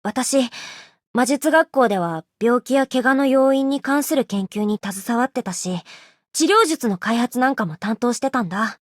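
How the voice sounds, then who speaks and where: A woman's voice: a little high, fast, dry recording, studio quality, close to an everyday voice. A woman voicing a kind, studious young healer mage in a scripted game line. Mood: earnest, supportive.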